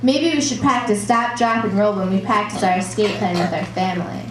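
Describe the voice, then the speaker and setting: A woman's voice: mid-range voice, fairly even intonation, brisk, natural voice. A woman voicing a curious, eager young puppet in a children's show, speaking in simple, wondering lines. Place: live show in a room, recorded from the audience. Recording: roomy, distant, noisy live show recording.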